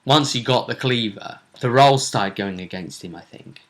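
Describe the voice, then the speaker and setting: A man casually recapping a tabletop role-playing tournament as its host, telling it in halting bursts with dramatic repetition. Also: medium pitch. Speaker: host and game master.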